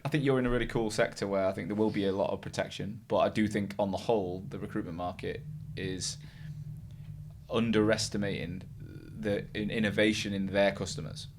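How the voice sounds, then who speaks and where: A man from England hosting a podcast, talking quickly and conversationally while he thinks out loud. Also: fairly low pitch, steady tone, a little hiss or hum. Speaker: podcast host. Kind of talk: casual interview chat.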